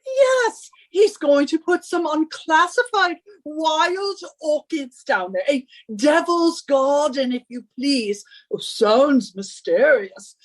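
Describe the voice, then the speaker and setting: A woman's voice: sits high, animated, slow pace, hardly any pauses, poor audio. A woman playing an imperious, henpecking wife in a radio suspense drama, nagging and scolding with theatrical emphasis. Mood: smugly insistent. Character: bossy henpecking British wife, shrill and imperious.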